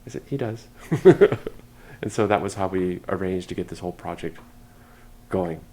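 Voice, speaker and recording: An older man, a sociology professor, telling a story casually in a relaxed, chuckling manner. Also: deep voice, normal speed.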